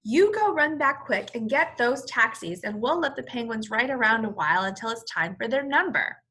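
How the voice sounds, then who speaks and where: A bossy mother from a children's storybook, played by a woman reading aloud, giving orders with urgency. Her voice is high and bright. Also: lively intonation, moderate pace, breathy edge, full character voice. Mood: urgent. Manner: urgent, directing.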